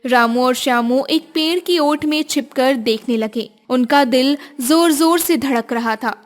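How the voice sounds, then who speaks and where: A woman's voice: sits high, measured pace. A woman narrator reading a scripted moral tale for a cartoon in storybook style.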